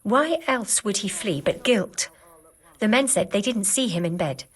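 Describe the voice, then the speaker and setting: A woman's voice: medium pitch, animated, a little slow, husky. An actress playing a stern, suspicious widow and protective mother in a video game drama, speaking in an accusing, guarded way. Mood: accusing, bitter. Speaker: voice actor.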